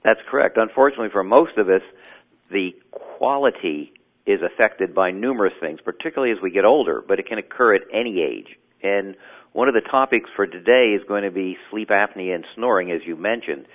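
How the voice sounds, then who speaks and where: A man speaks as a guest doctor, measured and explanatory, his fairly low voice coming through a tinny phone line. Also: lively intonation. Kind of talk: interview answers.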